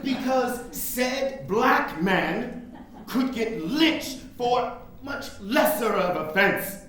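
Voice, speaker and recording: A man's voice: extremely high pitch, lively intonation, fairly bright tone, heavily acted. A man playing a wary, principled nineteenth-century abolitionist in a staged play reading, with formal, emphatic delivery. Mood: exasperated. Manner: emphatic, deliberate, formal diction with dramatic pauses. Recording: very echoey room.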